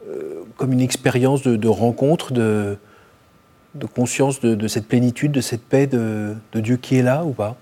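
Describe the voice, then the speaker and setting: A man interviewing a guest, asking gentle, probing questions with many fillers. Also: medium pitch, measured pace.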